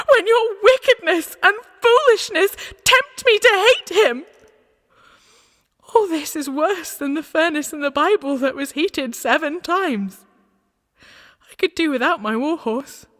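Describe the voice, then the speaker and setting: Woman playing a high-voiced defiant young warrior maid. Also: pushed and bright, long reverb tail. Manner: earnest, impassioned, plain-spoken. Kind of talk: radio play acting. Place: actor's home, live remote recording.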